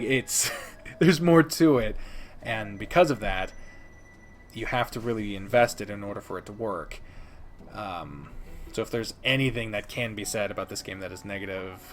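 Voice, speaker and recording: A man giving a casual, unscripted video review as host, speaking emphatically and theatrically with dramatic pauses. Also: fairly low pitch. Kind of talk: casual scripted-free game review commentary.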